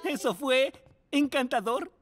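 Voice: high-pitched, a little slow, loud music behind the voice. An actor voicing a kindly, encouraging mole schoolteacher in a children's cartoon. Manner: gentle, encouraging, theatrical.

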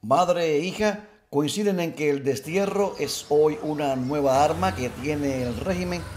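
A male reporter reading a formal, measured news voice-over. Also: a little high, darkish tone, slight background noise.

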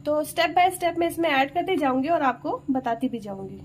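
A woman's voice: sits high, animated, normal speed. A woman hosting a cooking video, chatting through each step for her viewers in her home kitchen. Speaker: cooking channel host. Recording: noisy home recording.

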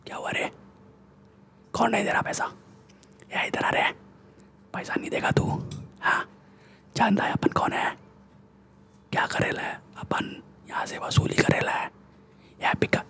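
A young man playing a swaggering, bullying Mumbai gangster in a breathy ASMR whisper, with slangy, boastful Mumbai Hindi speech, close to the microphone. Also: high voice, fairly bright tone. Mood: threatening. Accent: Mumbai Hindi street slang. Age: young adult. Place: small room indoors. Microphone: close desktop condenser microphone.

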